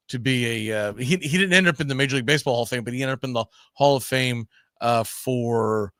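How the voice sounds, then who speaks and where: A man's voice: mid-range voice, bright, forceful tone, dry recording, clean studio sound. A man hosting a live baseball history show, chatting casually and often repeating and rephrasing as he goes. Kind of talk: casual sports chat with co-host.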